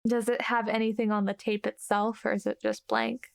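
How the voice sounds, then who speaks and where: A woman's voice: a little high, flat delivery, normal speed, creaky. A woman player casually narrating her character in a tabletop game, thoughtful and hesitant.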